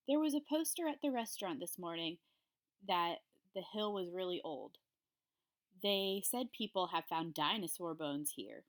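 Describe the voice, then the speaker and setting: A woman's voice: on the low side, expressive, measured pace. A woman reading the lines of an eager child in a storybook, with raised, emphatic delivery and an American accent. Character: eager child narrator on a family trip.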